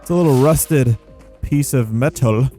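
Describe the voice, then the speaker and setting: A man's voice: mid-range voice, measured pace, dark tone. A man hosting a gaming video with casual, rambling commentary, playful and full of informal slang. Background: background music.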